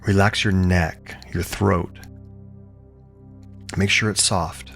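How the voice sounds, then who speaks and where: A man leading a guided relaxation as a yoga teacher, speaking calmly and soothingly in short, measured phrases with pauses. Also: sits low, creaky, soft background music. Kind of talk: guided meditation, gentle instruction.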